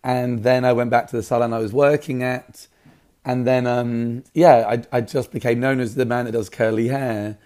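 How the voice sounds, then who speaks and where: Mellow, slightly raspy man chatting in an interview. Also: mid-range voice, steady tone, moderate pace. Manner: enthusiastic. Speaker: hairdresser, salon owner, interview guest. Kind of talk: casual interview talk.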